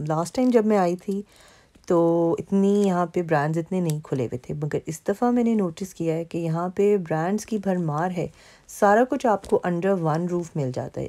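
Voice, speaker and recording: A woman's voice: on the low side, dark tone. A woman from Pakistan narrating her vlog in a casual, chatty way, with frequent fillers. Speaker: vlogger. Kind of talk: casual narration over mall footage.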